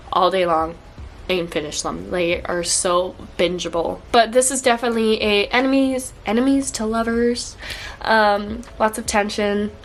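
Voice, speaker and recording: A woman's voice: mid-range voice, slight background noise. Young woman, congested and stuffy, bubbly casual book-review vlogger. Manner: upbeat, gushing, frequent fillers.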